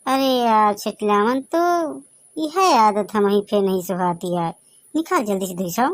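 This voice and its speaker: Man doing high, brash young Bagheli villager, dry sound. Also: expressive, measured pace, no room sound. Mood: cajoling, argumentative. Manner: colloquial, pushy, argumentative. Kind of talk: dialect comedy cartoon voice acting.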